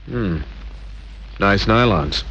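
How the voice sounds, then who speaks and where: A man's voice: on the low side, animated, drawn-out words, a bit bright, dull audio, a little hiss or hum, exaggerated voice. An actor playing a tough, wry male private detective in an old-time radio crime drama, narrating and talking in terse hard-boiled phrases. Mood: wary, sardonic. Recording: old radio recording, faint music behind.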